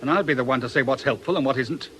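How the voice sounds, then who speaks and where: A man's voice: medium pitch, very fast, fairly bright tone, close to an everyday voice. Police inspector, radio play male actor: grim, brusque, exasperated, medium register, slight noise behind. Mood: exasperated, reproving. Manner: stern, brusque, reproving. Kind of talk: British radio drama acting.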